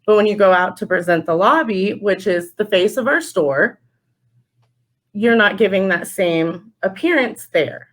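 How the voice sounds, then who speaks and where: A woman's voice: mid-range voice, animated, measured pace. Woman telling stories in casual interview. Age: young adult. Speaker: podcast guest, store general manager.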